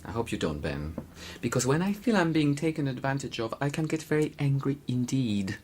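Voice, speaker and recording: A man with a British accent playing a stern, patronizing, quietly threatening teacher in a radio drama. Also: medium pitch, sing-song, slight background noise, natural voice. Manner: measured, probing, patronizing.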